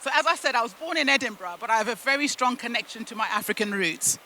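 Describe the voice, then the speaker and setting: A woman from the UK and Zimbabwe, a native Shona speaker, giving a motivational storytelling talk with deliberate phrasing and emphatic pauses. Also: a little high, animated, bright, forceful tone, slight background noise. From United Kingdom and Zimbabwe. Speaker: keynote speaker at a business event.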